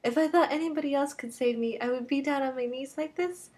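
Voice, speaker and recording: Woman voicing a theatrical young woman, begging, breathless, imploring, high and loud, storybook reading. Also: a little fast, lightly acted. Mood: pleading. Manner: breathless, imploring, rising pitch. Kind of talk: dramatic reading of a novel.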